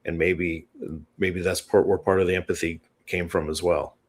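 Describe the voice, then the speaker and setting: A man's voice: low voice, level tone, moderate pace. A man guest on an interview show, explaining a written analysis in a tentative, thinking-aloud way.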